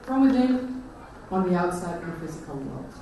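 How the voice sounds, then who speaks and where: A woman's voice: on the low side, very animated, moderate pace, dark tone, far from the mic. Icelandic woman speaking in an echoey hall. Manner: hesitant, many fillers and restarts. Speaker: conference speaker. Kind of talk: presentation talk. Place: large conference hall.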